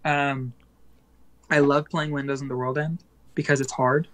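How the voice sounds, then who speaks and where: A man, a band musician, chatting casually as a guest in an interview, rambling with plenty of fillers and quick laughs. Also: mid-range voice, flat delivery, fairly bright tone.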